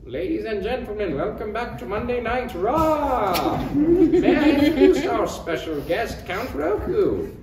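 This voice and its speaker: A man's voice: very high voice, big pitch swings, measured pace, over a noisy crowd, voice pushed up, full character voice. A man playing a bombastic, hyped-up wrestling-style arena announcer in a parody read-through. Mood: hyped showmanship. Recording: roomy, distant mic with clear noise.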